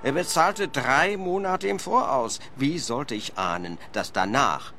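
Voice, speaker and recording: A man's voice: medium pitch, a little slow, bright, forceful tone, slight background noise. A man playing a fussy, self-justifying wealthy landlord in a radio play, plaintive. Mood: evasive, defensive. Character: fretful, evasive wealthy landlord, thin-skinned former boss.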